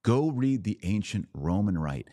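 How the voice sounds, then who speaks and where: A man's voice: lowish voice, very animated, measured pace, dark tone, no room sound. A man hosting a podcast, lecturing with emphasis and repeating his points for stress. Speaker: podcast host. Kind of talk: podcast commentary on church liturgy.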